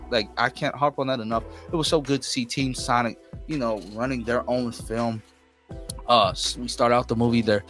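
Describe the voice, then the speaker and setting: An enthusiastic man gives an off-the-cuff review with music playing behind him. His mid-pitched voice leans on key words and circles back to repeat them. The delivery is informal and emphatic, like a host talking straight to his audience. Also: a bit bright. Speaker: movie reviewer and channel host. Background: background music.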